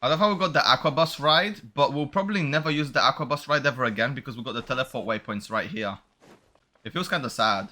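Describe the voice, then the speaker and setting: A man's voice: mid-range voice, fast, bright, forceful tone. A man giving casual, unscripted commentary as he plays a video game, musing and thinking aloud. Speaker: streamer playing a video game.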